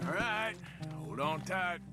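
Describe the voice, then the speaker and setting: An actor playing a weary middle-aged outlaw cowboy, talking in a patient, plainspoken drawl in a game cutscene. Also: male voice, high voice, animated, takes it slowly. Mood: easygoing. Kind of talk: video game cutscene acting. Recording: game audio with music underneath.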